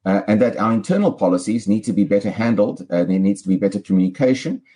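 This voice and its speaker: A South African man speaking as an analyst in an interview, articulate and measured, building long, careful sentences. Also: on the low side, lively intonation, dark tone. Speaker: political analyst guest. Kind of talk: interview commentary.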